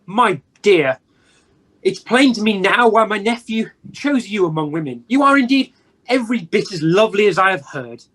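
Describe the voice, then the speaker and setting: An actor playing a reformed old miser uncle in an amateur radio play, speaking in a theatrical, deliberate way over a home video call. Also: medium pitch, lively intonation, a bit bright. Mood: tender, repentant.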